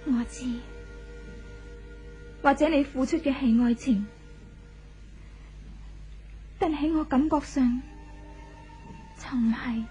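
An actress playing a conflicted, melancholy young wife in a 1970s Hong Kong Cantonese radio drama, plaintive and confessional. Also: female voice, high voice, a little slow, long pauses, dark tone. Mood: weary, sorrowful. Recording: dull vintage radio recording with music.

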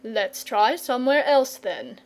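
A woman's voice: fairly high pitch, sing-song, measured pace. An earnest storybook knight, voiced by a woman narrator, courteous and sure of himself. The delivery is patient and persuasive, with a thoughtful air. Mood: patient, confident. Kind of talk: storybook character reading.

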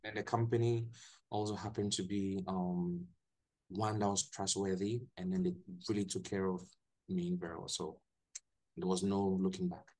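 A young man with a Ghanaian accent, a guest telling his story in a relaxed way on a video call from a small room, often restarting his sentences. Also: sits low, normal speed, poor audio. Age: young adult. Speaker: guest, English teacher. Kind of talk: casual video-call interview.

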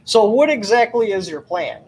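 A man's voice: high-pitched, big pitch swings, moderate pace, a little mellow, a little hiss or hum. A man in his thirties hosting a livestream debate over a webcam call, pressing his guest with pointed questions. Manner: probing, keeps asking pointed questions. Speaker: livestream host. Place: small room at home.

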